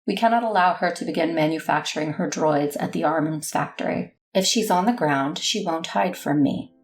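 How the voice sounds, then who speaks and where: An actress playing a brooding, resolute warrior, narrating an audio drama gravely and introspectively. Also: female voice, sits low, a little fast, a little hiss or hum. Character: brooding, resolute woman warrior of a space Republic. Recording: music under the voice.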